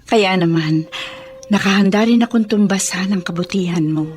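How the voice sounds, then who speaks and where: A Filipino actress playing a wealthy, wronged and remorseful matron in a radio drama, with emphatic delivery. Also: female voice, medium pitch, moderate pace, a little mellow, a little hiss or hum, lightly acted. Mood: remorseful, grateful. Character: wealthy matronly woman, wronged, fierce yet deeply remorseful.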